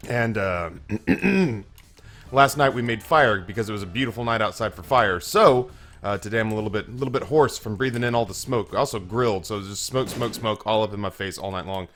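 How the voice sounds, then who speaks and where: A slightly hoarse young man hosting a gaming channel, telling a long, self-mocking story with many restarts while he plays. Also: lowish voice, a little fast, fairly bright tone, soft background music. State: a little hoarse. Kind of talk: casual let's-play commentary.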